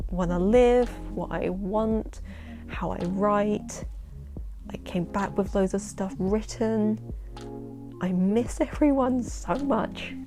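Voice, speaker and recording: A young woman with a London accent and a husky voice, chatting excitedly to camera in a casual vlog about her work as a poet. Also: mid-range voice. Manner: chatty, excitable, quick asides and laughs. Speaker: poet and vlogger. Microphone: camera-mounted shotgun mic.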